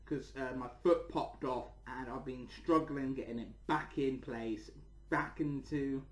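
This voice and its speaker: A man's voice: mid-range voice, measured pace. A man hosting a casual channel update, rambling and repeating his words and phrases as he talks to viewers. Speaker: channel host and toy reviewer. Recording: echoey room.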